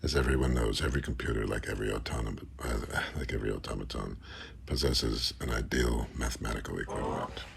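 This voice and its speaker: Very deep, gravelly man reading scholarly prose. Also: quick pace. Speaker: reader of a literary text. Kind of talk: scripted reading of a mock-scholarly essay. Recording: faint background noise.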